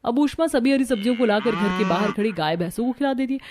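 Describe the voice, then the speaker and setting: A woman's voice: a little high, animated, fast talker. A woman reading scripted story narration. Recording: music behind the voice.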